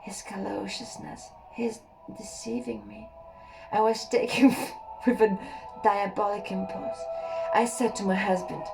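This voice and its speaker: Woman narrator for an erotic audiobook: warm, unhurried, hushed and close. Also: mid-range voice, flat delivery, gravelly, soft background music, poor audio. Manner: slow, intimate reading with soft pauses. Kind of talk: first-person erotic story reading.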